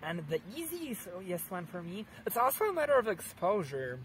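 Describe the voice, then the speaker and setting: A man in his thirties from Poland with a Polish accent, answering interview questions in a park with lively gestures and many restarts. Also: sits high, fairly quick, a little hiss or hum, poor audio. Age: 30s. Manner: animated, gesturing, frequent restarts. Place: outdoors in a sunny park.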